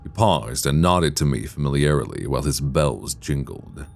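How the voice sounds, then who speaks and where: A man narrating a gothic audiobook in a deliberate, theatrical, dramatic scripted style. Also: extremely low pitch, expressive, gravelly. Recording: long cavernous reverb.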